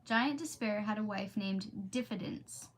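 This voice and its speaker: A woman's voice: mid-range voice, unhurried, slight background noise, poor audio. A woman livestreaming in a chatty, informal, easily sidetracked way as she reads aloud and talks to viewers. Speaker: livestream host reading aloud. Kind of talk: casual livestream chat and storybook read-aloud.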